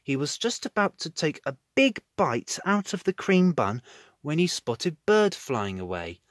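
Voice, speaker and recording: A man narrating a children's storybook in an expressive reading style. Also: a little high, measured pace, no room sound, studio quality.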